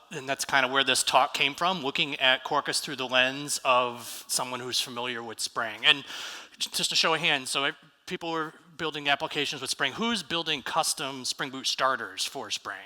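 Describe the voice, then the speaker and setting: A man's voice: medium pitch, bright, forceful tone. An American man giving a casual conference talk on stage, asking the audience for shows of hands. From United States. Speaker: conference speaker. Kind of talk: live tech conference talk. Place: large conference hall stage. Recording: reverberant hall.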